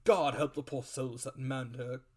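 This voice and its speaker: A man voicing a blustering, excitable gentleman squire in a dramatic audiobook, loud, emphatic and exclamatory. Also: medium pitch, unhurried, breathy edge. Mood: indignant, emphatic.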